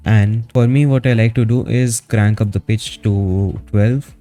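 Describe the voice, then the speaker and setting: A man narrating a step-by-step software tutorial in a casual, instructional manner, as a streamer would. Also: fairly low pitch, steady tone, unhurried. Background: background music.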